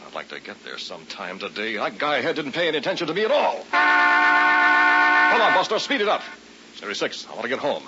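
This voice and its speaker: A man's voice: a little high, wildly swooping pitch, fairly quick, long pauses. An actor playing an irritable, cocky male commuter grumbling to himself in a vintage radio drama. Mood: impatient, grumbling. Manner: muttering to himself, exclamatory. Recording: muffled, very noisy old radio recording.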